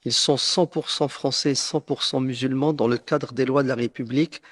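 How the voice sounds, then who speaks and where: This is a man. His voice sits at a middle pitch. He delivers a prepared statement formally and deliberately, with a solemn bearing throughout. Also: fairly even intonation, a bit bright. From northern France. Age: late 50s. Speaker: preacher making a personal statement.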